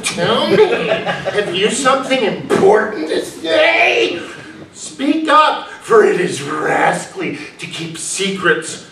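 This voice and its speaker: A man's voice: high-pitched, sing-song, slow, creaky, full character voice. An older man playing a troubled, pious recluse in a staged play reading, formal and declamatory in manner. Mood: grandiose. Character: troubled, pious, reclusive suspect, brooding and grandiose. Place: small indoor venue, before a seated audience. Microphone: far camera. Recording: roomy distant stage recording with noise.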